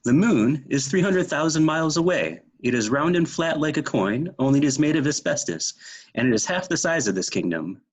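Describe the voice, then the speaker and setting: A man plays a pompous royal mathematician with a husky, animated voice. Every figure comes out precise and emphatic, in the pedantic style of a storybook know-it-all. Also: fairly high pitch, a little fast. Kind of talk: readers theater storybook reading.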